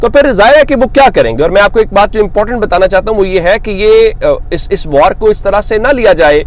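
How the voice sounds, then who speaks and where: A man's voice: sits high, expressive. A man delivering unscripted news commentary as a host, explaining step by step and posing questions that he then answers himself. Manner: explanatory, reads English quotes slowly then paraphrases them; poses rhetorical questions and answers them.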